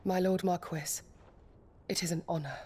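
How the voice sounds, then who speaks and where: An actress playing a devoted, disciplined female knight in a fantasy game, formal, deferential and measured. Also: on the low side, fairly even intonation, darkish tone, slight background noise, lightly acted. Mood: reverent. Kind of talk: dramatic fantasy game acting.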